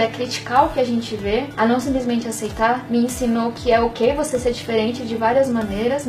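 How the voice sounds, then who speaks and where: A young woman from Bahia in Brazil, talking in a casual interview, animated and often tagging on "sabe?". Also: on the high side, distant mic, rough recording. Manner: fast, animated, peppered with "sabe?". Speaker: interview guest.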